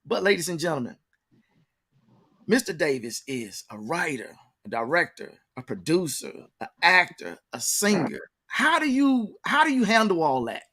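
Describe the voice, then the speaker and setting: High-voiced man hosting, folksy hype. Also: sing-song, moderate pace, pushed and bright. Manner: folksy, hyping, repeats words for emphasis. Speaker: interview show host. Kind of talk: casual talk-show interviewing.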